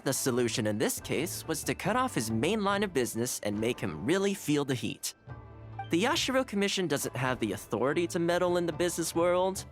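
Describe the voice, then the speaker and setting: A man playing a calm, shrewd young housekeeper of a samurai clan in a video game, speaking in a composed, articulate way. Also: on the high side.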